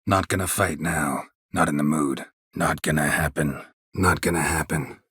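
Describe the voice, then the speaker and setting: A man playing a gruff, stoic, sardonic monster-hunting mercenary in a video game, terse and deadpan with a gravelly voice. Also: a bass voice, normal speed, dry recording, studio quality, full character voice. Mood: dry, guarded. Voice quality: gravelly, husky. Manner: terse, deadpan, clipped sentences. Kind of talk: video game dialogue acting.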